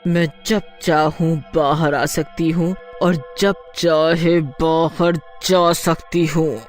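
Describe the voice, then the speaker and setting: Performer putting on a slow, cackling witch ghost woman. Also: mid-range voice, steady tone, faint music behind, natural voice. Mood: possessive, menacing. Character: gleeful, menacing bloodthirsty female witch ghost.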